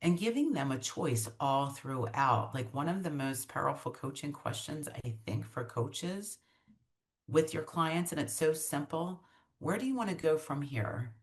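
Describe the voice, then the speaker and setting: A woman's voice: low voice, lively intonation, unhurried. A woman executive coach as an interview guest on a video call, explaining her ideas with enthusiasm and some restarts.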